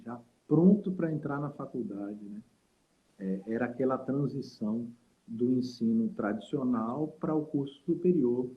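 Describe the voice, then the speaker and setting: A man from Salvador, a guest saxophonist and teacher telling stories in a rambling, reflective way with many fillers over a video call. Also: medium pitch. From Salvador, Brazil. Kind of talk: casual interview storytelling. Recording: tinny call audio.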